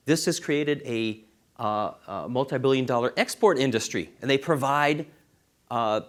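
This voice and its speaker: An earnest man speaks at a university seminar, pitched squarely in the middle of the male range. He is a law professor from Israel, and he leans hard on the key numbers to drive them home. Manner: earnest, stresses key figures with emphasis.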